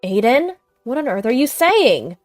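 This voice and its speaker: Woman acting out a shrill, defiant, frantic mother. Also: very animated, measured pace, no room sound, faint music behind. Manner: shrill, shouting, indignant. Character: defiant, possessive, frantic young mother, villain of tale.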